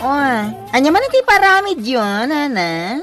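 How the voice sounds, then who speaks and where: A woman playing an excitable, gullible nag in an Ilocano-accented comedy radio drama, full of exclamations. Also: high-pitched, a little slow, soft background music, exaggerated voice. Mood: exasperated. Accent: Filipino Ilocano. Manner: exclamatory, swooping.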